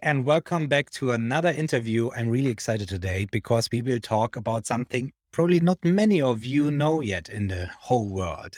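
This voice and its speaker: A man's voice: medium pitch, no room sound, studio quality. A man hosting an interview podcast, speaking in an enthusiastic manner. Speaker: podcast host.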